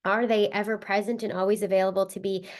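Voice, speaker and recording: A woman's voice: mid-range voice, level tone, quick pace. A woman with a cold, sounding a little stuffed up, talking casually as a podcast host, with many fillers and tag questions. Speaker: podcast host and spiritual reader. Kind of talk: casual solo podcast talk.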